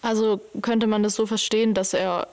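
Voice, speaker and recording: Informal woman with a mid-pitched voice. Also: level tone, normal speed, darkish tone. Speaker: conversation partner asking questions. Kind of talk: casual chat.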